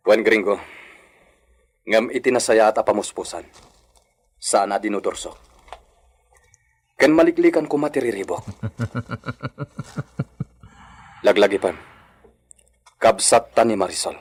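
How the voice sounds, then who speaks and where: An actor playing an earnest, conciliatory brother in a melodramatic Philippine radio drama, speaking in a measured, pleading way. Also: male voice, lowish voice, expressive, husky, exaggerated voice.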